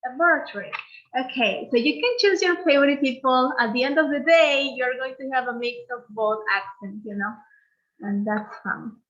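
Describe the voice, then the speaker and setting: A woman's voice: sits high, moderate pace, fairly bright tone, dull audio. A woman teacher from Peru with a Peruvian Spanish accent, leading an online English lesson and patiently repeating phrases for learners to copy.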